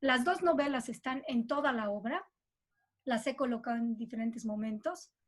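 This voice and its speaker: A woman's voice: fairly high pitch, animated, normal speed. A woman with a Bolivian accent speaking informally and reflectively as a composer being interviewed.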